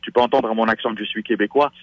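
A man's voice: lowish voice, fairly even intonation, racing, no echo. A man, a stage actor, chatting enthusiastically as a guest in a radio interview. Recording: dull lo-fi radio sound.

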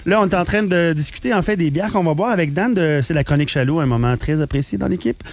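Playful Quebec French radio host. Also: male voice, on the high side, vocal fry. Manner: playful, teasing, quick to laugh. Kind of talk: casual radio chat.

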